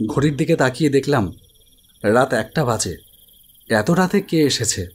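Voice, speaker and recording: Troubled idol-maker telling a ghost story. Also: male voice, mid-range voice, lively intonation, a little slow, slightly rough. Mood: uneasy, brooding. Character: anxious male idol-maker recounting his ghostly encounter. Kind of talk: dramatic first-person horror story narration. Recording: studio voice over music bed.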